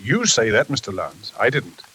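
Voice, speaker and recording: An actor playing an unruffled, probing psychiatrist, speaking in a measured, pointed way in 1940s radio style. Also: male voice, lowish voice, wildly swooping pitch, pushed and bright, exaggerated voice. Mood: pointed, cool. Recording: hissy old radio recording.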